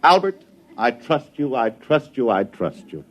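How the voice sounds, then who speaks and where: An actor playing a tipsy, grumbly but chummy artist with a confiding, repetitive manner in a 1950s radio drama. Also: male voice, mid-range voice, very animated, measured pace. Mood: boozy, confiding.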